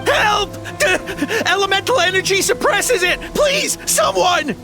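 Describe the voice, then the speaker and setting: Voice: very high voice, expressive, unhurried, over music, full character voice. An actor voicing a cowardly hired poacher in a video game, nervous and stammering. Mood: panicked. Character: cowardly, greedy hired poacher, blustering then panicky.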